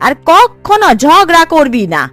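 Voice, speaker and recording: A woman's voice: extremely high pitch, very animated, a little slow, bright, forceful tone, soft background music, heavily acted. A woman voicing a bossy, affectionate elderly village aunt in a cartoon, with an emphatic, scolding, colloquial manner. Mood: firm, motherly. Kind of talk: Bengali cartoon story dubbing.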